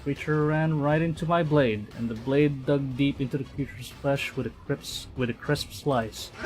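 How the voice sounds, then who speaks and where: A man doing live game commentary, excitable, reading story text aloud and muttering phrases over to himself. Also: medium pitch, rough recording. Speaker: streamer.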